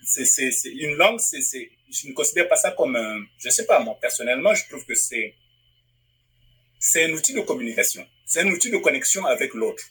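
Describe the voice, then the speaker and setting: A man's voice: a little high, big pitch swings, a little fast, a bit bright, slight background noise, low-quality recording. A man from Africa, a publisher and writer, answering interview questions in a thoughtful way, often restarting phrases as he searches for words. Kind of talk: interview guest. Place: Northwest Territories.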